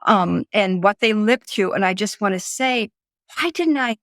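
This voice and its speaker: Older woman, halting and reflective, dry sound. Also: mid-range voice, expressive, fairly bright tone, dry recording. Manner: halting, searching for words, repeats phrases. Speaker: interview guest, author and psychologist. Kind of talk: reflective personal conversation.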